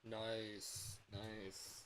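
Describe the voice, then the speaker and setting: A young man livestreaming in a casual chat, rambling and halting as he corrects himself mid-sentence. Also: lowish voice, monotone, a bit bright, a little hiss or hum. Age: young adult. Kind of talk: casual chat with stream viewers.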